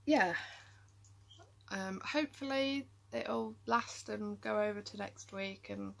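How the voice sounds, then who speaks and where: A woman's voice: mid-range voice, slow pace, creaky. A tired woman chatting casually to camera in a rambling vlog, with lots of ums and trailing thoughts. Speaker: vlogger.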